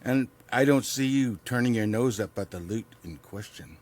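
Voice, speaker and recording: A man's voice: medium pitch, normal speed, a little mellow, lightly acted. A man playing a cocky, roguish survivor and raider in an audio drama, laconic and wry. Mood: defensive. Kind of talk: naturalistic audio drama acting.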